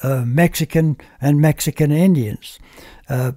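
Brief: older man, native Comanche speaker, halting plain-spoken storyteller, studio mic. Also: a little high, warm tone. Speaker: guest, veteran. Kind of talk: reminiscing interview talk. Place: small podcast studio. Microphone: studio mic on stand, headphones.

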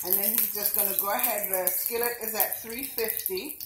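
A woman's voice: medium pitch, fairly even intonation, normal speed. A middle-aged woman hosting a home cooking demonstration in her kitchen, chatty and narrating each step as she goes. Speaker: home cooking show host. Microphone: camera across the kitchen. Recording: echoey kitchen, far from camera, loud hiss. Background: sizzling skillet.

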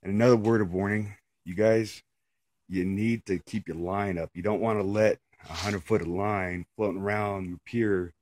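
A man's voice: on the low side, fairly even intonation, normal speed, darkish tone, no room sound. A middle-aged man giving a casual how-to talk, plainspoken, often checking in with "okay" and "right". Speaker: fishing vlogger.

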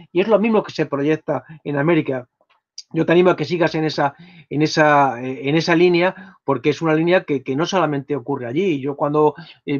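A man's voice: a little high, dull audio. A man in his sixties from Spain, talking in a long, expansive way on a video call from his home study. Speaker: speaker and host. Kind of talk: online video conference talk. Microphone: laptop webcam on video call.

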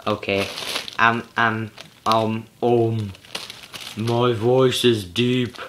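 Slow-talking teen guy on a noisy webcam. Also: fairly low pitch, flat delivery. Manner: chatty, self-amused, thinking aloud. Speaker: vlogger. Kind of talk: casual rambling vlog. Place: small bedroom, indoors. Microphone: webcam at arm's length. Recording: noisy home webcam recording.

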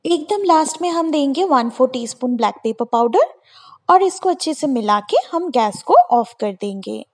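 High, lively woman cooking host. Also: moderate pace. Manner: friendly, instructive, repeats steps clearly. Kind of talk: step-by-step recipe voice-over.

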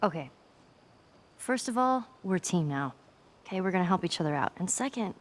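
A woman's voice: fairly low pitch, animated, brisk. An actress with an American accent playing a spirited, wry, caring teenage girl in a video game drama. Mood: reassuring. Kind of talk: naturalistic video game cutscene acting.

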